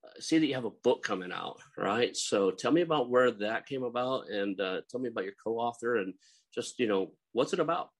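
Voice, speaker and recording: A man's voice: medium pitch, animated, a little fast. A middle-aged man from Oklahoma with a light Oklahoma accent, hosting a casual interview podcast and rambling with chuckles and fillers. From Oklahoma, USA. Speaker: podcast host.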